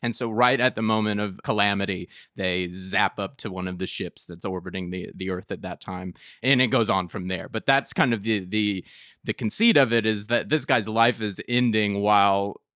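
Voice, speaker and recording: A man's voice: on the low side, fairly even intonation, moderate pace, fairly bright tone, no room sound, studio quality. A young American man chatting casually as a podcast co-host, in a rambling way, often cutting himself off mid-thought. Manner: rambling, self-interrupting. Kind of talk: casual chat about a film.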